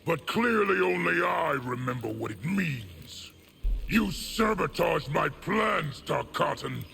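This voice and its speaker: An actor playing a proud, contemptuous military general in fighting-game dialogue, haughty and commanding with a gravelly voice. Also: male voice, a little high, very animated, slow pace, slight background noise, exaggerated voice. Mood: angry, commanding. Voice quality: gravelly, forceful.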